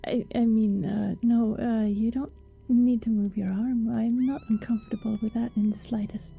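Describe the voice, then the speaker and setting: A woman playing an affectionate, wistful vampire neighbour in an intimate ASMR roleplay, speaking softly and tenderly, often in a hesitant whisper. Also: a little high, fairly even intonation, narrow, tinny audio, full character voice. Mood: flustered, shy. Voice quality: soft, breathy, often whispered. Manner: slow, tender, hesitant murmuring.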